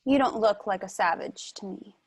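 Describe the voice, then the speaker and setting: An actress playing a curious, naive young daughter of an emperor, innocent and inquisitive, at a table read. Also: female voice, mid-range voice, normal speed, natural voice. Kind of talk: film script table read.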